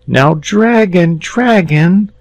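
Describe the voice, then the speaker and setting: A man reading a story, voicing an eager, excitable young boy in a raised, pleading voice. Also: high voice, extremely slow, no echo, voice pushed up, exaggerated voice.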